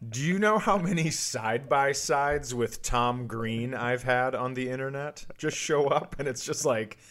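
A man's voice: medium pitch, unhurried, fairly bright tone. A man hosting a comedy podcast, bantering casually and telling self-deprecating, profane stories.

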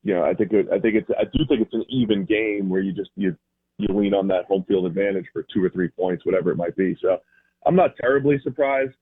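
American guy talking football analysis, kinda raspy, thin phone line sound, casual and rewording stuff. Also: sits low, fast talker. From Boston area, United States. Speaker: football analyst, radio guest. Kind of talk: conversational sports analysis. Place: remote call into a radio show.

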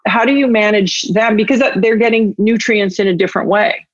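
A woman's voice: medium pitch, a little fast, dry recording, highs cut off. A woman hosting a podcast, chatting casually in an interview and rambling as she thinks aloud. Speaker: podcast host.

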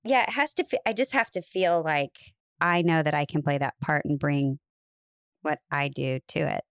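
A woman's voice: low voice, moderate pace, clean tone, dry recording, studio quality. A woman co-hosting a podcast and chatting casually, in a self-deprecating way. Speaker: podcast co-host, actress. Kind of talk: casual chat.

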